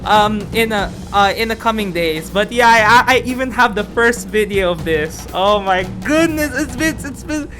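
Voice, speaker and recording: A woman game streamer reading story text aloud and commenting with effusive, exclamatory excitement. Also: medium pitch, normal speed, few pauses. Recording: music behind the voice.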